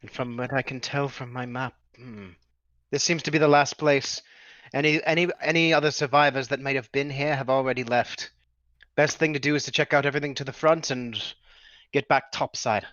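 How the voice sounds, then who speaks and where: A man's voice: medium pitch, steady tone, brisk, fairly bright tone, no echo, dull audio. A man playing a tabletop game, talking through his character's plans and the rules. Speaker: player. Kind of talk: casual tabletop play.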